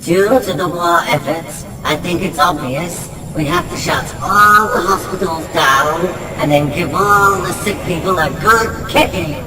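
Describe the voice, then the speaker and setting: A man's voice: high voice, a little slow, hardly any pauses. An actor playing a pompous male council leader, officious and dismissive. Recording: echoey, distant, noisy room.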